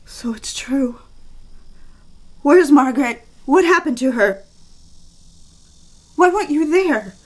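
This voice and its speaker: An actress playing a frantic, devoted young secretary in an audio drama, pleading in halting, breathless phrases. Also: female voice, sits high, animated. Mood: shaken, confused.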